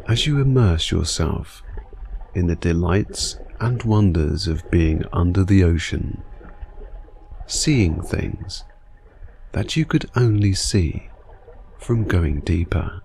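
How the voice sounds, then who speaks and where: A man narrating a scripted guided sleep meditation in a soothing, unhurried manner with long pauses. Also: sits low, lively intonation, gravelly, darkish tone, a little hiss or hum.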